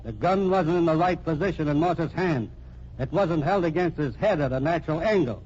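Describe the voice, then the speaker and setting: An actor playing a blunt, short-tempered American homicide captain, hard-boiled and accusing, in an old-time radio crime drama. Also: male voice, a little high, lively intonation, normal speed, darkish tone, lightly acted. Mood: accusing, sure. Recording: dull, noisy old radio recording.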